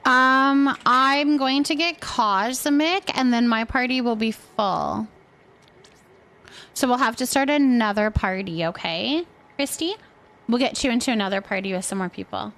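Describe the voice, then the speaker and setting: A woman chatting casually while streaming a game, thinking out loud as she talks to her viewers. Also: a little high, measured pace. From California, United States. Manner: chatty, thinking aloud to herself. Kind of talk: casual gameplay stream chat.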